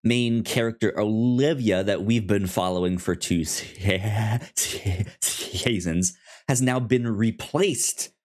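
Slow, stuttering man podcasting casually. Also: fairly low pitch, darkish tone. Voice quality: stutters, repeating syllables and words. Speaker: podcast co-host. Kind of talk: casual chat and show recap.

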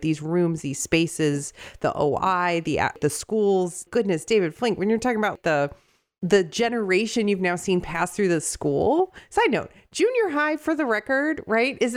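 A woman hosting a casual conversation, animated and quick, laughing between her thoughts. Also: mid-range voice. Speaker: podcast host. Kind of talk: casual chat.

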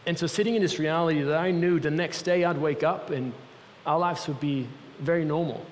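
A man's voice: fairly high pitch, fairly quick, a little hiss or hum. A young man with a Swiss accent giving an earnest, personal sermon as a guest speaker for a mission group in a church. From Switzerland. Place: large church hall. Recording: long reverb of a church hall.

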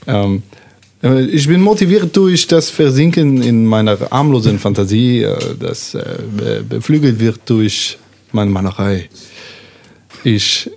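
A man's voice: medium pitch, expressive, slow pace, vocal fry, long pauses, a little mellow, exaggerated voice. A man playing a secretive, poor trailer-dwelling painter in a tabletop game, groping for words in broken, foreign-sounding grammar. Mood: halting, secretive. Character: halting, secretive male trailer-dwelling painter with little money.

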